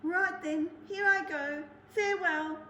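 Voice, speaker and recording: Woman doing a polite high little mouse. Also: slow, a little hiss or hum, exaggerated voice. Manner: soft, polite, prim. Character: small polite male mouse, cheeky and persistent. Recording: roomy echo.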